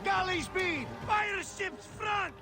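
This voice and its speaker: A galley crewman, very high strained voice, gravelly edge, slow barked orders, loud music behind. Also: heavily acted. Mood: urgent. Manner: barked commands, shouting. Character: male ship crewman shouting battle orders. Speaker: game ship crew. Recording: game audio under loud music.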